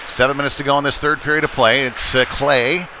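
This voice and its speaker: A man's voice: medium pitch, fairly even intonation, fairly quick, bright, forceful tone, slight background noise. A man giving live play-by-play commentary of a youth ice hockey game, with conversational, folksy asides.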